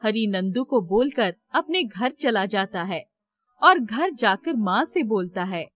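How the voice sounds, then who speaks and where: A woman's voice: medium pitch, expressive, slow, lo-fi sound. A woman reading scripted narration for a children's moral story.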